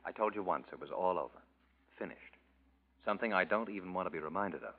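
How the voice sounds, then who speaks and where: A sardonic bitter artist. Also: male voice, low-pitched, expressive, fast. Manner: sardonic, clipped. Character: cynical bitter male artist, young adult. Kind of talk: 1940s radio acting. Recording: tinny old radio recording.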